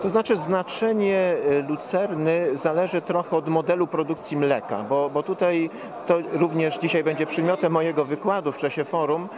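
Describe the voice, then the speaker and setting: A middle-aged man giving formal, measured expert explanations as an interviewed professor. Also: fairly high pitch. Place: interview stand at a busy indoor forum. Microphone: handheld reporter microphone held out to him. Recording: busy trade event crowd noise.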